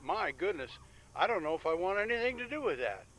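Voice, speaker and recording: An actor playing a nervous, evasive outcast in a sci-fi audio drama, hesitant and repeating himself. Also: male voice, sits high, very animated, a little fast, fairly bright tone. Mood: uneasy, evasive. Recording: faintly noisy field recorder.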